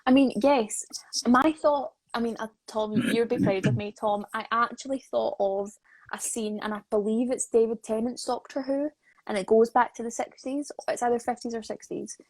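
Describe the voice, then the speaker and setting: 20s girl hosting a casual live chat, jokey, highish voice, no room echo. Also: fairly even intonation, normal speed, darkish tone. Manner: jokey, informal, chatty. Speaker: host, associate producer. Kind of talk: casual livestream interview. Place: live video call.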